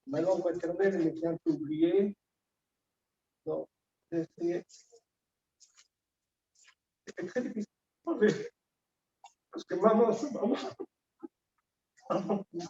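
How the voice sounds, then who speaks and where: A man in middle age delivers a eulogy, his high, soft-toned voice faltering with grief and long pauses. He is captured from well back in an echoing hall, and the recording sounds dull and muffled. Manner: halting, with repeated words and long pauses. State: grieving, emotionally moved. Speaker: son giving a eulogy. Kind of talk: funeral eulogy. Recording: echoey hall, distant dull mic.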